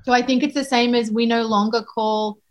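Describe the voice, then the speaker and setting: A woman foreign correspondent answering interview questions in a thoughtful way, with frequent pauses and restarts. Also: fairly high pitch, steady tone.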